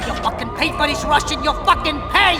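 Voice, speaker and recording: An actor playing a frantic, vengeful, foul-mouthed gangster, shrieking aggressively in an action game. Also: male voice, extremely high pitch, fairly quick, loud music behind the voice. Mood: panicked rage.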